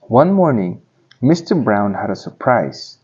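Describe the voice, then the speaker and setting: A man reads a picture book aloud for children, taking his time with deliberate phrasing. His voice is soft-edged and mellow, with some huskiness, and the recording sounds a little dull. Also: on the low side, big pitch swings, husky. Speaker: children's story reader. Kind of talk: read-aloud storybook narration.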